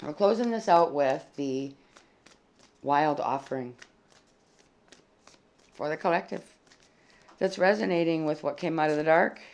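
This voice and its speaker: Tarot reader talking straight, gravelly fry in the voice, dry froggy throat, cusses a bit. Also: medium pitch, measured pace, pauses often, darkish tone. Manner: blunt and plain-spoken, swears freely. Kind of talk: casual tarot reading to camera.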